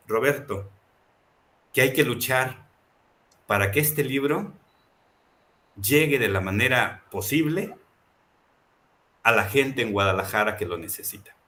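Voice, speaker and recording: A man's voice: mid-range voice, bright, forceful tone. A man presenting a book in a reflective, impassioned talk, building his points through rhetorical repetition. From Mexico.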